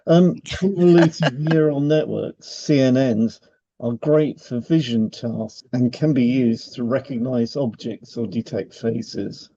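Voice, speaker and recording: A man's voice: mid-range voice, slow, warm tone. A man co-hosting a podcast, reading explanatory material with chatty asides. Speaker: podcast co-host, hypnotherapist. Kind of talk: scripted podcast narration with informal asides. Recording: slightly dull, lo-fi sound.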